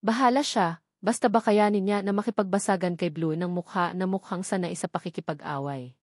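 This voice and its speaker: A woman's voice: on the low side, fairly quick, no echo, clean studio sound, close to an everyday voice. Wary young Filipino man, voiced by a woman, grumbling. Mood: defiant.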